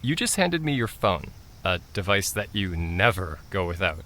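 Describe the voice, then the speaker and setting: Woman playing low-voiced, earnest young brother. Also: big pitch swings, normal speed, fairly bright tone, heavily acted. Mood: subdued. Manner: hesitant, earnest. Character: unsure but excitable young brother, Junie. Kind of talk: audio drama acting.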